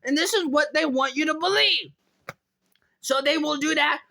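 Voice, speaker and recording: A man putting on a squeaky, agitated complainer who rants in exclamations, repeating himself and drawing out words. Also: creaky, full character voice. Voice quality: high, bright, squeaky. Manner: exclamatory, repetitive, drawn-out words. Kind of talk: rambling solo rant.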